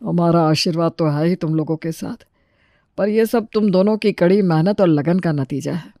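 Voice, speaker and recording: A woman acts out an older family patriarch in a cartoon tale. He is genial and proud, with a lowish voice for a woman player and a dry close sound. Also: normal speed, mellow, no echo, lightly acted. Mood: approving. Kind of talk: animated Hindi family story dialogue.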